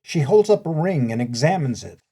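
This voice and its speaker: A man's voice: on the high side, lively intonation, brisk, dark tone, breathy edge. A man narrating an audio play, reading scripted stage directions.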